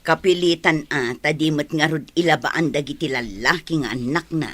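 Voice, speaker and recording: Kind, concerned village wife. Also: female voice, deep voice, moderate pace. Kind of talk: Filipino radio drama acting.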